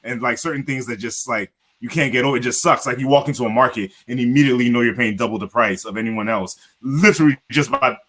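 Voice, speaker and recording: A man's voice: medium pitch, fast, few pauses, fairly bright tone. A man with an American accent hosting a casual online panel, talking freely with lots of fillers. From grew up in the United States. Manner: chatty, filler-heavy, emphatic. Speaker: panel host.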